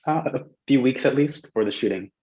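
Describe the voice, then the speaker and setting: Man as a hesitant gentleman, muffled audio. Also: medium pitch, lively intonation, a little fast, lightly acted. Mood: awkward. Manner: hesitant, polite. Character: bashful, courteous young gentleman suitor. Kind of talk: staged reading of period drama. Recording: dull lo-fi call audio.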